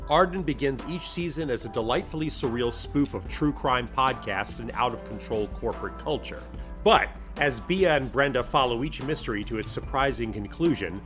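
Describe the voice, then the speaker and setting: A male podcast presenter with a medium-pitched voice reads scripted narration. Soft music plays faintly behind him. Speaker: podcast presenter introducing a show.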